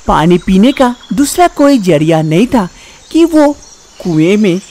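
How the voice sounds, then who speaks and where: Lively, slow-paced woman storyteller. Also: mid-range voice. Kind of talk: scripted narration of children's animal fable.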